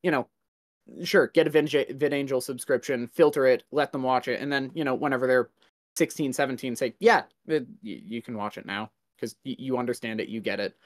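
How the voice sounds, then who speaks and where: A man's voice: medium pitch, fairly quick, no room sound, studio quality. Man thinking aloud in casual discussion.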